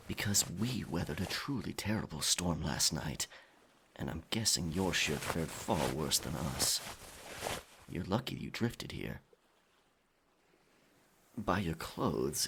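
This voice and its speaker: A man plays a roguish pirate captain in an ASMR audio drama, low and husky. He takes his time with gallant, old-fashioned phrases, courteous yet firm about who commands the ship. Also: a bit bright, heavily acted. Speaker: audio roleplay performer. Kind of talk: ASMR audio roleplay, intimate dramatic acting.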